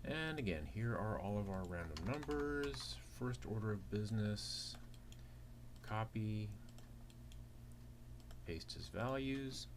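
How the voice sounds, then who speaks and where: Low-voiced man teaching step by step. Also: animated, slow pace, pauses often. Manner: explains step by step with rhetorical questions. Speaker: accounting teaching assistant. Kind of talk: instructional walkthrough of a worked problem. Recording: clear background noise with keyboard typing.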